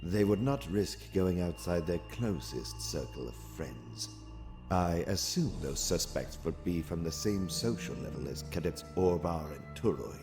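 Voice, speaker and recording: A man voicing a calm, calculating alien military officer in formal, precise phrases for a comic dub. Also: low voice, music in the background. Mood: measured, persuasive. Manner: measured, formal, precise wording. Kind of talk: dramatic comic dub acting.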